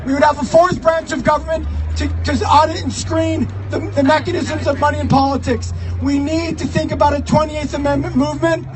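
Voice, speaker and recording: A man giving an impassioned, declamatory political speech as an activist, shouting to a crowd outdoors. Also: very high-pitched, flat delivery, brisk, hardly any pauses, poor audio. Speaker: activist rally speaker. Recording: outdoor live recording with crowd and music.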